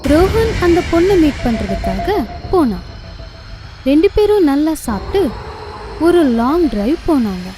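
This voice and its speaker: A woman's voice: sits high, big pitch swings, a little slow, pauses often. A woman narrating a cartoon ghost story in a scripted storybook style. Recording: music bed behind.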